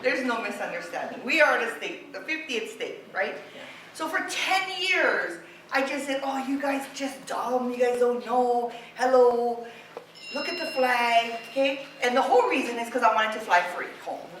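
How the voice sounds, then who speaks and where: Roomy distant recording, middle-aged woman, Hawaiian local accent, slightly high voice, conversational storytelling, unapologetic, tag questions. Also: moderate pace, a bit bright. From Hawaii, United States. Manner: unapologetic, conversational, peppered with "right?" and "okay?". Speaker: speaker giving a talk. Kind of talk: informal personal storytelling to an audience. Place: university classroom. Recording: very echoey room, distant mic, clear noise.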